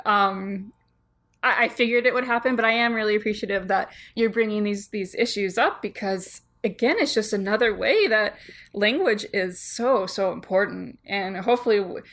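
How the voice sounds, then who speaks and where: A woman's voice: medium pitch, moderate pace, fairly bright tone. A woman co-hosting a podcast, asking questions and showing her appreciation in a casual interview, restarting her sentences now and then. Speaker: podcast co-host.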